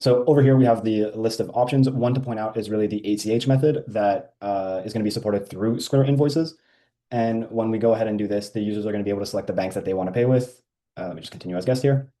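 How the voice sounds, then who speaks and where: A man walking through a software feature in a casual screen-share demo, with frequent ums and uhs. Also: lowish voice, fast talker.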